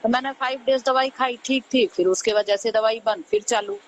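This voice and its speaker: A woman's voice: fairly high pitch, steady tone, fairly quick. A woman with an Indian accent casually recounting her troubles as a caller, earnest and repeating words for emphasis. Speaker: caller seeking health advice. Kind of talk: casual chat, recounting symptoms. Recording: slightly noisy phone call recording.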